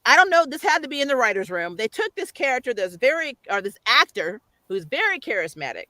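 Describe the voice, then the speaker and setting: A woman's voice: fairly high pitch, big pitch swings, a little fast, background noise. A woman hosting a casual, opinionated TV recap chat, emphatic and exasperated, often breaking into laughter. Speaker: host of a pop culture review show.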